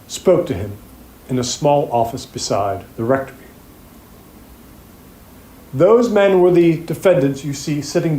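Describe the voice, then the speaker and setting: An actor playing a methodical, pointed prosecutor delivering an opening statement to a jury in an audio drama, speaking deliberately with rhetorical pauses. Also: male voice, mid-range voice, dark tone, slight background noise, lightly acted. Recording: roomy, echoing sound.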